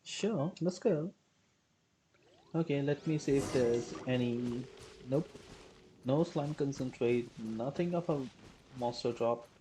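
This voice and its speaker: A woman's voice: a bass voice, takes it slowly, long pauses, noisy background. A woman streaming a video game live, chatting casually and narrating her moves. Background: game music.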